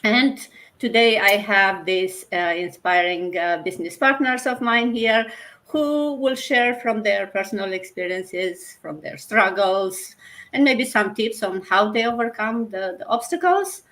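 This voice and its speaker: A woman's voice: mid-range voice, unhurried, a bit bright. A woman from Romania hosting a panel discussion in a conversational, informal and somewhat hesitant way. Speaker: host.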